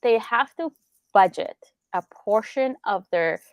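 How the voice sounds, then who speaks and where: A woman's voice: mid-range voice, expressive, a little slow, no echo. A young adult woman from Iran, a business attorney, explaining in casual interview chat with lots of rhetorical questions.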